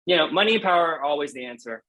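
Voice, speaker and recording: A man's voice: fairly high pitch, animated, rapid-fire, pushed and bright, rough recording. A man speaking frankly and informally as a guest in a casual interview, with a wry way of putting things. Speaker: guest poet.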